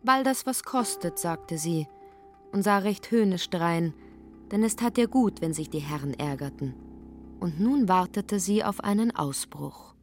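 Low-voiced woman narrating. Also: a little slow, faint music behind. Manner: expressive storytelling, slipping into character lines. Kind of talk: scripted literary narration for radio.

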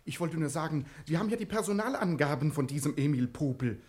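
An actor playing a meek, deferential prison underling who speaks in a hushed, anxious way in a 1960s German radio drama. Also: male voice, on the high side, animated, fast talker, natural voice. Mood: meek, nervous. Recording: noisy old radio recording.

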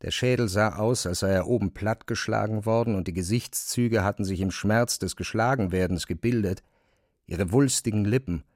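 Male narrator for classic fiction: lowish pitch, dry studio sound, measured and precise delivery. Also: darkish tone, no echo. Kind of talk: scripted literary narration.

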